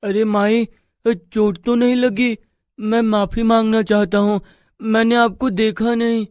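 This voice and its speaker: A woman's voice: fairly high pitch, flat delivery, a little slow, a little mellow, no room sound, studio quality, lightly acted. A woman voicing a kind, innocent young village boy in a storybook tale, speaking earnestly. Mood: apologetic.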